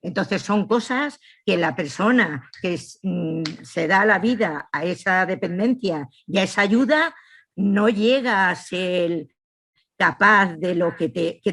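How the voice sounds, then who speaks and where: A woman's voice: medium pitch, a bit bright. A woman with a southeastern Spanish accent gives a personal testimony at a public talk as a family carer. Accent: Castilian Spanish from southeastern Spain. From Spain. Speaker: course attendee and family carer.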